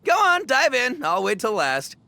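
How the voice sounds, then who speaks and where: A man's voice: very high-pitched, wildly swooping pitch, normal speed, pushed and bright, slight background noise, full character voice. A man voicing a brash, goofy, big-hearted young gang boss in a video game, boisterous, slangy and theatrical. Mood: baffled, then smug. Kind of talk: video game cutscene acting.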